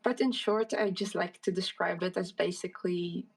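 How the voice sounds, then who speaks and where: A woman's voice: fairly low pitch, steady tone, moderate pace, creaky, distant mic. A woman speaking casually and thoughtfully as an interview guest, feeling her way through her answers with some hesitation. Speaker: interview guest, indie game creator.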